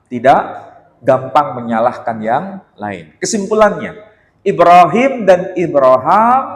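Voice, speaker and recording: An Indonesian man giving a religious lecture in a mosque, animated and teasing, with rhetorical questions. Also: medium pitch. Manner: chants Quran verses in a slow melodic recitation. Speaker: Islamic lecturer. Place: mosque hall. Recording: echoey mosque hall.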